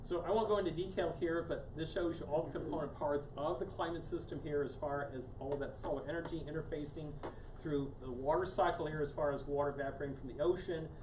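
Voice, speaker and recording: Guy giving a talk to a room, keeps saying here, kinda high voice, mic far off with noise behind. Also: steady tone, quick pace. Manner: casual, repeats "here" constantly, many restarts. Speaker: guest speaker on climate science. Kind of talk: live public lecture to a small audience. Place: meeting room with a live audience. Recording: roomy, distant mic with background noise.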